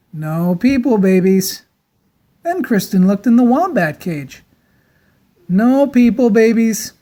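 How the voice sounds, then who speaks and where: A woman narrating a children's storybook aloud in a dramatic, theatrical style with deliberate emphasis. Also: mid-range voice, warm tone.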